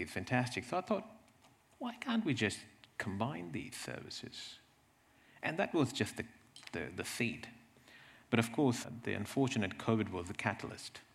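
Low-pitched, gravelly man giving a presentation. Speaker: clinician presenting at a health event. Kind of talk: conference presentation with anecdotes.

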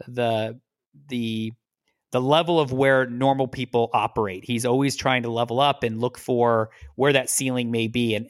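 A middle-aged man from the United States, a lawyer, telling his story as a podcast guest in a relaxed, rambling way with repeated words. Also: medium pitch, no echo, clean studio sound. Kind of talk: casual interview storytelling.

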